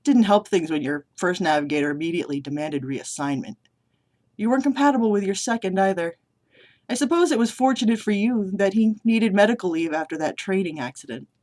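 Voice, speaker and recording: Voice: mid-range voice, lively intonation, a little fast, natural voice. A vlogger reading aloud and voicing an older male military commander who is smug and manipulative. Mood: smug commanding. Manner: raised, louder and more forceful than own talk. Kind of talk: reading a story scene aloud.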